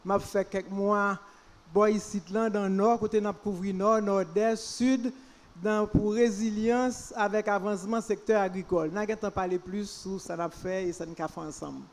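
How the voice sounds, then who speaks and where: A woman's voice: mid-range voice, fairly even intonation, normal speed, a little mellow. A middle-aged woman from Haiti, a development worker, introducing herself on a panel in a jovial, joking way. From Pétionville, Haiti. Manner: jovial, jokes with the audience.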